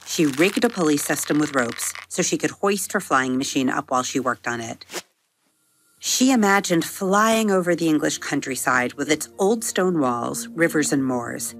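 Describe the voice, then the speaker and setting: A woman hosting a podcast, narrating a bedtime story for children in a gentle, expressive storytelling manner. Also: low voice, moderate pace.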